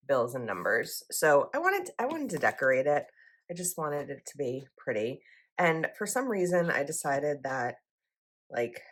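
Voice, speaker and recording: Young woman vlogger, low voice, slightly froggy, rambling and casual. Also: a bit bright. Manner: chatty, rambling with likes and ums. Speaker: planner vlogger. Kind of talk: casual chat while decorating a planner.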